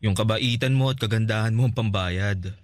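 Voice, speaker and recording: A man's voice: fairly low pitch, moderate pace, no room sound, studio quality, close to an everyday voice. An actor with a Filipino accent playing an earnest, protective young man smitten in a radio drama. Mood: teasing, encouraging.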